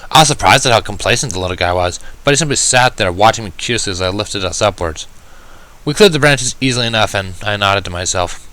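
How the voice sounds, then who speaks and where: Bright-voiced man reading a story. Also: on the low side, expressive, quick pace, low-quality recording. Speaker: fan fiction reader. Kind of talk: first-person audiobook-style story narration.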